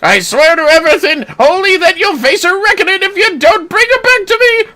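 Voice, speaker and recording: A performer voicing a wronged, heartbroken bald man from a game in a theatrical, shouted rant. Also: extremely high pitch, expressive, brisk, pitched above the performer's own voice, full character voice. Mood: furious, anguished. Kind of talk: video game dialogue read aloud.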